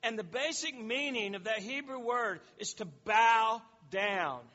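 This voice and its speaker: A man's voice: high voice, measured pace, pushed and bright, long reverb tail, dull audio. A man teaching a sermon in an explanatory way, often repeating words and restarting phrases. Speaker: preacher.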